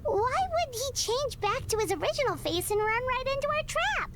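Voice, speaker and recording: A woman's voice: very high voice, sing-song, moderate pace, very noisy. A woman voicing an earnest young girl in a game, asking puzzled questions. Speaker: game character. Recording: game audio with clear clicks.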